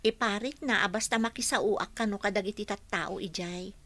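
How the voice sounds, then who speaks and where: A woman's voice: medium pitch, moderate pace, bright, forceful tone. A woman with an Ilocano accent playing a dutiful young daughter who works as a housekeeper, in radio drama style. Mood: earnest, respectful.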